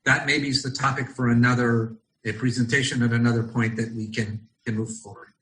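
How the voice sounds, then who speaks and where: A middle-aged American man from Los Angeles, a rabbi leading an online study session over a video call, speaking thoughtfully and often pausing with ums as he searches for words. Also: medium pitch, flat delivery, fairly bright tone, rough recording. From Los Angeles, United States. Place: at home in Israel.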